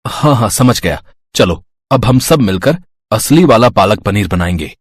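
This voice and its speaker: Husky kindly village father played by a man. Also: fairly low pitch, expressive, moderate pace, no room sound. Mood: gentle. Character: kindly village husband and father, humble, encouraging. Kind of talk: cartoon story dialogue.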